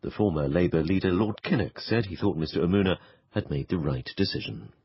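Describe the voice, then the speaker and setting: A British male newsreader with a low, very husky voice. He reads in a formal bulletin style, recorded dry and close with a slightly dull top end. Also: brisk, no echo. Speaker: radio newsreader. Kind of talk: formal news reading.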